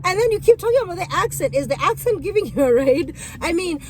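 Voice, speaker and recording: A woman with a Kenyan accent, whose first language is Kikuyu, telling stories about being a rideshare driver in an animated, exclamatory way. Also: very high voice, quick pace, slight background noise. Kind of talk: casual storytelling vlog.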